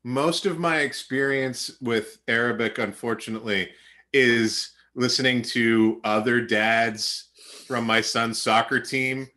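Male host in his thirties from Canada, bright tone, rambling, self-deprecating. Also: medium pitch, fairly even intonation, unhurried. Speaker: podcast host. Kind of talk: casual podcast chat.

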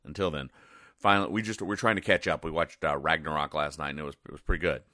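A man hosting a casual comedy podcast, recapping things in a deadpan, rambling, halting way. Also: extremely low pitch, no room sound, clean studio sound. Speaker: podcast host.

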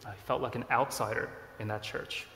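A man in his thirties, originally from Korea, preaching a sermon in a relaxed, conversational way with self-deprecating asides. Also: mid-range voice, moderate pace, gravelly, a little hiss or hum. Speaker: pastor. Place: church sanctuary. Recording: echoey church hall.

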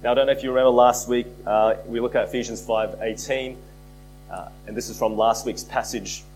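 A man preaching a sermon as pastor, hoarse from a cold, earnest and repeating key phrases for emphasis. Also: mid-range voice, steady tone, a little fast, a little mellow, faint music behind, low-quality recording. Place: church.

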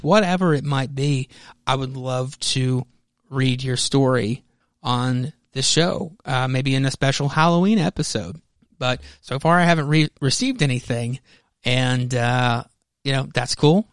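A man hosts a casual solo podcast in a mid-pitched voice. He rambles in a halting way, doubling back to correct himself. The sound is close and dry, with hardly any room to it. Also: dry recording. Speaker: podcast host.

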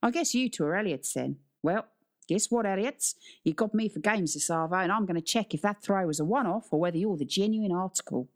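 A woman's voice: mid-range voice, brisk, natural voice. A woman voicing a young Australian male sports teacher in an audiobook, breezy, slangy and matey while giving orders. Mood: matey, upbeat. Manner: breezy, slangy, commanding but friendly.

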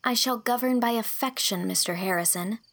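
A woman's voice: a little high, brisk, fairly bright tone, pitched above the performer's own voice. Woman doing an earnest, indignant young schoolteacher.

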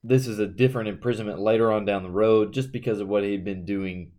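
A man's voice: lowish voice, fairly even intonation, quick pace, a little mellow. A man co-hosting a casual Bible study discussion, talking conversationally with frequent fillers and reading verses aloud. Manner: conversational, reads scripture aloud, uses fillers. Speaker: podcast co-host.

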